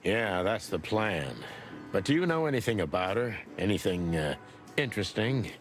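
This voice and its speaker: Male actor as jaded noir game detective rooster. Low-pitched, deadpan, laconic, music underneath. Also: moderate pace, natural voice. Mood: world-weary. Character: world-weary, wry rooster private detective, male. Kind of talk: film-noir video game acting.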